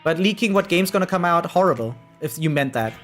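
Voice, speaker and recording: A woman streams games with casual, opinionated commentary. Her pitch moves in big, animated swings as she makes emphatic points in informal, slangy language. Soft game music plays behind her. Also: fast. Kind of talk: casual livestream commentary and reaction.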